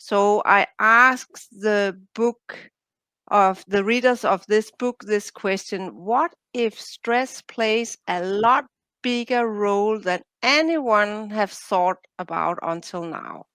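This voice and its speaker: Slow-speaking Danish woman. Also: medium pitch, fairly bright tone, clear, resonant tone, no echo. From Denmark. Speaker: author and coach. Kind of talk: interview answers.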